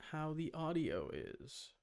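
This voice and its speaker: Casual livestreamer, very creaky lower-pitched voice, scattered muttering while setting things up. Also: very animated, takes it slowly, a little mellow. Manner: muttering, scattered, self-interrupting. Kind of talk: casual livestream chat, talking to self.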